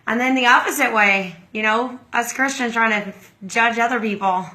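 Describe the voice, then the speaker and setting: A hoarse middle-aged woman hosting a casual livestream, chatting and greeting viewers by name. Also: a little high, moderate pace, bright, forceful tone, rough recording. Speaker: livestream host.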